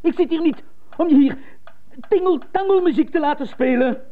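An actress in a radio drama playing a strict, condescending piano teacher who lectures emphatically. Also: female voice, high voice, moderate pace, close to an everyday voice. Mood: indignant. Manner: emphatic, lecturing, repeats for effect. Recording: thin phone-like radio tape.

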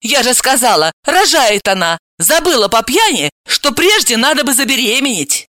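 Woman acting a lively, hot-tempered, sarcastic young woman, Dalila. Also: high voice, moderate pace, dry recording, clean studio sound, voice pushed up, heavily acted. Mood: sarcastic indignation. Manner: snappish, indignant, sarcastic. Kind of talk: audiobook character acting.

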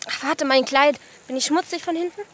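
A chatty, dreamy schoolgirl with a high young voice. She talks colloquially, searching for words in a naturalistic radio play. Crickets and rural outdoor noise sit behind her. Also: fairly quick, fairly bright tone. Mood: chatty, playful. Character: chatty, dreamy East German schoolgirl in her early teens. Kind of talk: naturalistic German radio drama acting. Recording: outdoor ambience with crickets, clear background noise.

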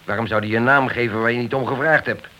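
A man's voice: mid-range voice, steady tone, quick pace, sharp tone, natural voice. Guy playing a sarcastic cop boss, curt and accusing, old radio play, bit of hiss. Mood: sceptical. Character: stern, suspicious, sarcastic male police inspector. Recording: dull, slightly hissy old radio drama recording.

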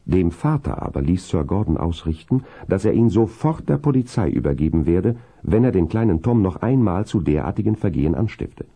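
A man narrating a seventies German radio play as a calm, kindly storyteller in measured, scripted prose. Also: deep voice, slightly rough, exaggerated voice. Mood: measured storytelling.

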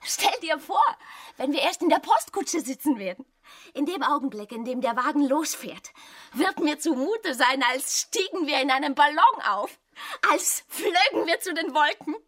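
An actress playing a passionate, discontented young wife in a radio play, with intense dramatic delivery. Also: female voice, sits high, lively intonation, moderate pace, a bit bright. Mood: rapturous.

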